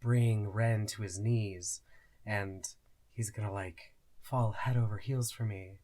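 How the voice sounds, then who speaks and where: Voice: medium pitch, fairly even intonation, takes it slowly, warm tone. A podcast co-host in casual discussion, a little hesitant and thinking aloud.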